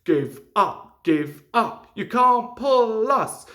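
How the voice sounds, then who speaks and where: A woman's voice: on the high side, lively intonation, extremely slow, fairly bright tone, roomy sound, pitched above the performer's own voice, full character voice. A woman voicing a silly, spiteful chorus of freight trucks that chant and taunt shrilly.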